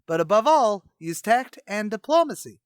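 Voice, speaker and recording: A high-voiced, scheming tavern manager played by a man for an old-time radio comedy. He is self-important and blustery, tossing out slangy wisecracks with mangled grammar. The sound is dry, with no room around it. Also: sing-song, moderate pace, clear, resonant tone, no echo. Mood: bossy, mock-pompous. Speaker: actor in a comedy radio play.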